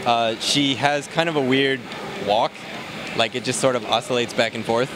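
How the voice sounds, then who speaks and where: Man, mid pitch, relaxed game walkthrough, noisy event recording. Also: normal speed. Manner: relaxed, explanatory, many fillers. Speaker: game developer demoing a character. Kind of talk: casual gameplay walkthrough. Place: convention floor, noisy.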